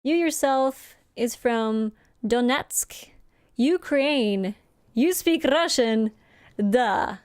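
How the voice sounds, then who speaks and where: A woman's voice: fairly high pitch, expressive, very slow, a little mellow, clean tone, no echo, studio quality. A young woman whose first language is Norwegian, chatting casually with viewers while she streams a game. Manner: chatty and friendly, laughs often. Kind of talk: casual live-stream chat, reading chat aloud.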